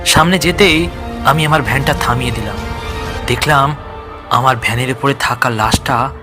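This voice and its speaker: A man's voice: medium pitch, normal speed, music in the background. A man narrating a scripted horror story in the first person, in a dramatic storytelling style.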